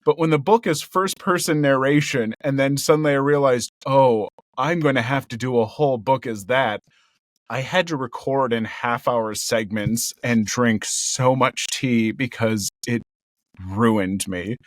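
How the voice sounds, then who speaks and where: A cheerful, excitable man talking about his voice work in a relaxed interview. His voice sits at a middling pitch with a gravelly edge. The sound is dry and close, with no room around it. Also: unhurried, dry recording. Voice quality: speaks in a raised register with a gravelly undertone. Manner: cheerful and excitable, laughs often. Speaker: voice actor guest. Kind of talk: casual interview chat. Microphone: headphones and a home recording mic.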